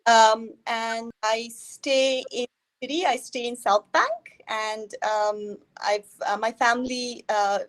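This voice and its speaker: A woman's voice: fairly high pitch, fairly even intonation, slow pace, a little hiss or hum, low-quality recording. A woman from India, a guest in a casual interview over a video call, talking hesitantly with many fillers.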